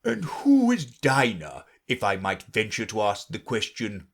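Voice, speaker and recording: A man's voice: on the low side, huge pitch swings, a little slow, heavily acted. A man voicing a polite, wistful bird in a storybook reading.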